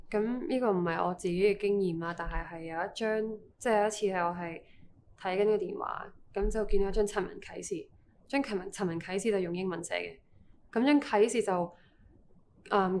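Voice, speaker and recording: A woman in her twenties from Hong Kong, answering interview questions in a measured, deliberate way. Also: medium pitch, darkish tone, a little hiss or hum. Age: 20s.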